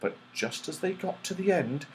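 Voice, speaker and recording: A man reading a children's story aloud with expressive narration. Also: fairly high pitch, moderate pace, slight background noise, low-quality recording. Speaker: audiobook reader.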